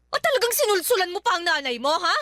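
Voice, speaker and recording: A woman's voice: very high voice, sing-song, quick pace, bright, forceful tone, no room sound, clean studio sound, exaggerated voice. A woman playing an aggrieved, defiant young wife, protesting and exasperated, in a Filipino radio drama with a Filipino accent. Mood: suspicious, indignant.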